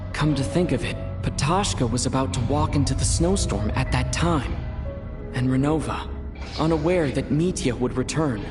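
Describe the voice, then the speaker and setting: A man playing a young male traveler in a game, recounting a mystery in a measured, earnest, reflective way over music. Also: medium pitch, close to an everyday voice. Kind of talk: scripted game narration, inner monologue.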